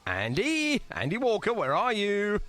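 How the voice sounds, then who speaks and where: A man's voice: high-pitched, huge pitch swings, measured pace, fairly bright tone. A man hosting a casual podcast interview, with a jokey, teasing manner. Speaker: host interviewing a band.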